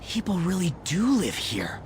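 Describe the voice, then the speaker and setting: Casting: brash teen guy in a game, informal slang, blunt, lively pitch movement. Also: moderate pace, very noisy. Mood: uncertain. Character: brash, slangy teenage boy, blunt and suspicious. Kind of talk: casual, expressive video game dub acting. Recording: music behind the voice.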